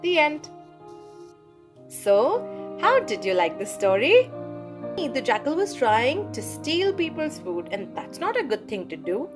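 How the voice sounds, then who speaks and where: A woman reading a children's story aloud for her channel, in a cheerful, expressive way. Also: sits high, unhurried, over music. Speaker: storybook reader, channel host.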